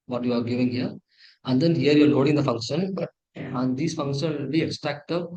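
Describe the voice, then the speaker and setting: A man with an Indian accent presenting a technical project walkthrough in a halting, repetitive way, often correcting himself. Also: mid-range voice, darkish tone, rough recording. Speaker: presenter of an engineering project demo. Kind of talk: tutorial walkthrough, partly read from slides.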